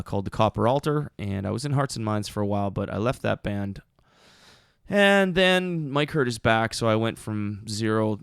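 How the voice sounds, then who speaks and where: Male podcast host, low and gravelly, mousy punk voice, rambling casual delivery with lots of fillers. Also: no echo, studio quality. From Canada. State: voice still recovering from screaming at a show. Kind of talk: casual chat.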